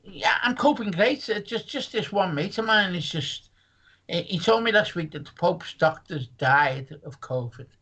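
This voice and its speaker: A man's voice: high-pitched, lively intonation, moderate pace, bright, forceful tone, husky. A man, a comedian rambling jokily through a casual interview chat. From Merseyside, England. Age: 60s. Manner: rambling, jokey, full of asides and restarts. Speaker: comedian guest.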